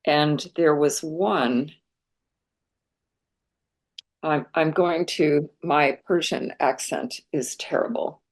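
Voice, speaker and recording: Low-voiced earnest American woman. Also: steady tone. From United States. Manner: earnest and enthusiastic, musing with many pauses. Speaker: guest scholar and translator. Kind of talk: reflective interview talk.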